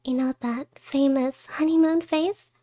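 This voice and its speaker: Woman acting a shy, high-voiced adoring young wife. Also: steady tone, a little slow. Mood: happy, affectionate. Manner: hesitant, tender, soft-spoken with breathy whispers. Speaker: ASMR roleplay voice actor. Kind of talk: ASMR romantic roleplay.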